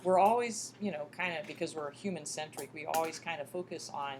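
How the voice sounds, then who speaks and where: Woman, lowish pitch, lecturer, folksy, encouraging, question-and-answer teaching, clearly noisy classroom recording. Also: a little fast. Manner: folksy, addresses students often as "you guys" and "folks". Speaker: college microbiology lecturer.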